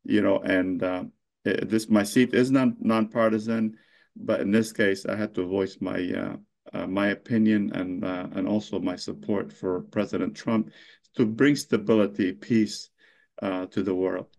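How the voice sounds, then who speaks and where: Low-voiced man, halting with fillers. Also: steady tone, gravelly, a little mellow. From Lebanon. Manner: halting, heavy with fillers and restarts. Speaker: mayor being interviewed. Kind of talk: unscripted interview answers.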